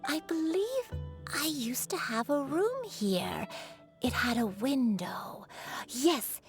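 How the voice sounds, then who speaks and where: An old granny reminisces over faint game music. She is gentle and forgetful, speaking in a halting, wistful way in anime video game style. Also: high-pitched, sing-song, full character voice. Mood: nostalgic.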